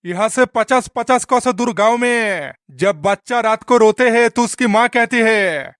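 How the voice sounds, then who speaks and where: A man's voice: extremely high pitch, unhurried, fairly bright tone, no room sound, studio quality. A man voicing a swaggering, menacing but foolish bandit boss in a comic cartoon, drawling and taunting in an exaggerated way. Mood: boastful.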